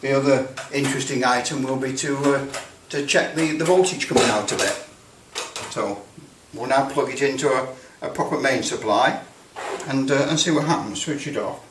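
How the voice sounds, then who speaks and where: A British man giving a casual hands-on demonstration, halting as he thinks aloud. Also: mid-range voice. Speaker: presenter demonstrating electrical testing. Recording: roomy, distant mic with background noise.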